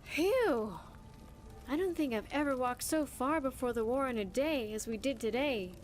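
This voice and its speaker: A woman's voice: high-pitched, lively intonation, moderate pace, big-room sound, faint music behind. A woman playing a wistful, earnest young vault survivor in a video game, speaking in careful, formal phrases. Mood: calm, uncertain. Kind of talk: video game companion dialogue.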